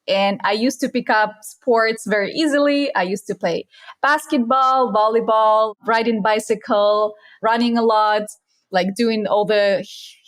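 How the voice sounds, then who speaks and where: A young woman from Russia with a Russian accent, talking earnestly and reflectively as a podcast guest, with slightly non-native phrasing. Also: on the high side. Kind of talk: casual interview chat.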